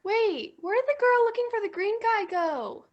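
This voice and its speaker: A woman's voice: very high-pitched, expressive, normal speed, dull audio. A young woman playing a ditzy, gossipy fairytale girl in a comic stage play. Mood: curious. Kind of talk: comic stage acting over video call.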